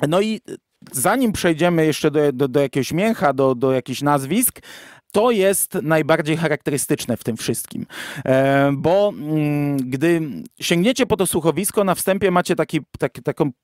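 Podcast host: man, voice a little above average pitch, casual explainer, very dry sound. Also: expressive, moderate pace, a little mellow, no echo.

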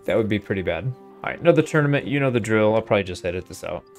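A man with a fairly low voice talks through a video game playthrough. He is laid-back and casual, reasoning aloud as he plays. Music runs underneath his voice. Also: animated, very fast. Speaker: host of a gaming playthrough. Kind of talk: casual gameplay commentary.

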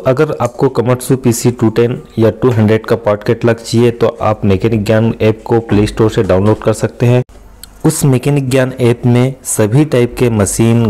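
A man's voice: mid-range voice, mellow. A man hosting a step-by-step machine repair tutorial from an excavator cab, talking the viewer through each step in a friendly instructional way. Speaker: mechanic and channel host. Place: excavator cab, outdoors work site.

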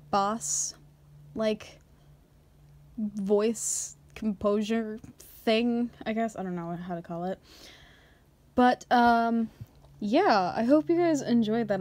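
A woman's voice: fairly high pitch, a little mellow. A woman who makes ASMR roleplays, chatting informally and a little hesitantly to her listeners. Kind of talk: casual outro to listeners.

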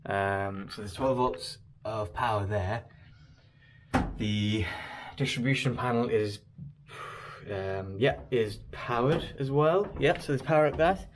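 A man's voice: medium pitch, takes it slowly, background noise. A man presenting a casual hands-on vlog in a small boat cabin, explaining his work and thinking aloud hesitantly. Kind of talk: casual explanatory vlog talk while working.

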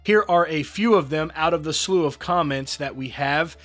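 A man's voice: on the high side, moderate pace, a bit bright. A man hosting an entertainment news show, reading a scripted report in an upbeat way and quoting fans' posts. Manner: upbeat, reads quotes aloud. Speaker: host.

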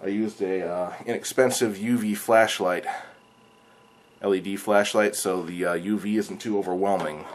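A man's voice: low-pitched, moderate pace. A young man talking casually and a little hesitantly, explaining things to camera in a home vlog. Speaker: video creator, hobbyist presenter. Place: living room indoors. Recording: clear steady background noise.

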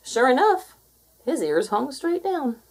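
A woman reading a children's story aloud in an expressive style. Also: high-pitched, takes it slowly, slightly rough. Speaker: storybook reader.

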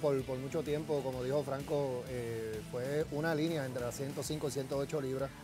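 A middle-aged man with a Puerto Rican accent, co-hosting casual sports talk in a halting, conversational way. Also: medium pitch, steady tone. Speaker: sports show co-host. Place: TV studio at a desk. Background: music.

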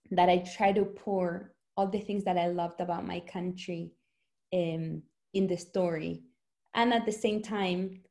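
A woman in her forties from Argentina with an Argentine accent, talking earnestly and reflectively about home in a video-call interview. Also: on the low side. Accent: Argentine, from Rosario. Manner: earnest, reflective, speeds up when excited. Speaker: author. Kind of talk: conversational interview. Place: small home room.

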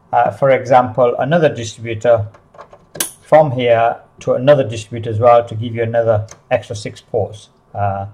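Halting man explaining a product. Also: mid-range voice, darkish tone. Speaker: product demonstrator for a CCTV supplier. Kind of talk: unscripted product unboxing and overview. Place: small office, indoors.

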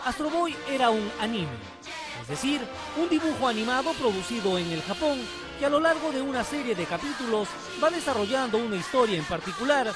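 A Peruvian man narrates a television feature with dramatic emphasis. His voice is high and swings widely in pitch as he tells the story theatrically. Music plays behind him. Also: big pitch swings, fairly quick. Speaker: TV reporter.

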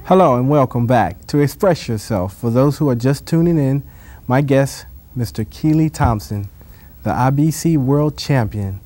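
A man hosting a TV interview show in a studio, asking questions in a measured, drawn-out way. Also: medium pitch, expressive, dark tone. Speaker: TV talk show host. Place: TV studio set.

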